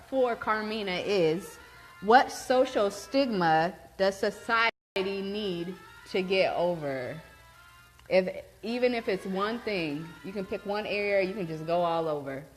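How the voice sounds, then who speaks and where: A woman's voice: medium pitch, a little slow, a bit bright, soft background music, low-quality recording. Upbeat woman host talking to viewers. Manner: upbeat, affirming, addresses viewers directly. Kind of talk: casual talk show.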